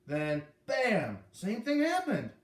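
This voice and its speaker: A man voicing an excitable, cheeky schoolboy in an eager, exclamatory way for a storybook. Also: high voice, slow, voice pushed up, full character voice. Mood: fuming. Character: excitable, cheeky schoolboy narrating his day. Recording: echoey room.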